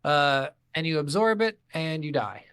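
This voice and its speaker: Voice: medium pitch, lively intonation, a little slow, a bit bright, clear, resonant tone, dry recording, studio quality. A podcast co-host chatting casually about a video game, swearing freely and acting out reactions in mock dialogue. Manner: profane, blunt, informal. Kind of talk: casual chat recapping a game.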